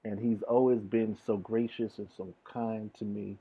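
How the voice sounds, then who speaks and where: Husky man chatting earnestly, low-fi sound. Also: little pitch movement, a little slow. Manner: earnest, informal slangy talk. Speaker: interview guest, DJ and music producer. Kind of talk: casual chat. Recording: dull lo-fi video call audio.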